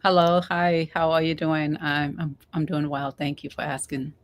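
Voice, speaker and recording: A middle-aged woman from Cambodia who grew up in the United States, speaking haltingly as a guest in a casual online interview. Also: deep voice, little pitch movement. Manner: halting, repeats words.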